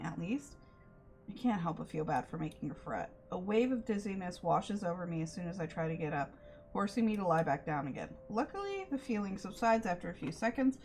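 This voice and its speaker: A woman livestreamer reading a visual novel aloud expressively, sometimes stumbling over lines and chatting between passages. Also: medium pitch. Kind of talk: dramatic read-aloud mixed with livestream chat.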